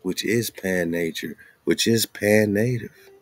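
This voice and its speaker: Man, very low pitch, husky edge, dry sound, informal show host, slangy commentary. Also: slow, no room sound. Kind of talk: casual commentary mixed with reading aloud.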